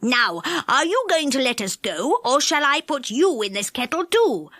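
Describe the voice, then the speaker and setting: A woman's voice: high-pitched, very animated, normal speed, fairly bright tone, dry recording, heavily acted. A woman voicing a male magical storybook character, earnest and emphatic, a plucky little bluffer. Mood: bold bluffing. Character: bold, anxious male magical friend bluffing as enchanter.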